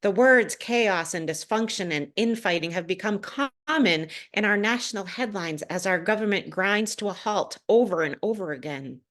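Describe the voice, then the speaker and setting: A woman minister delivering a prepared sermon to a congregation, phrasing deliberately and pausing for emphasis. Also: mid-range voice, fairly bright tone.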